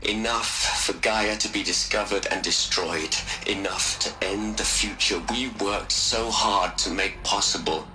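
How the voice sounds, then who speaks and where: Middle-aged male scientist actor: low register, somber, sincere, video game audio log. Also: fairly even intonation, measured pace, few pauses, over music, lightly acted, rough recording. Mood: somber, weary.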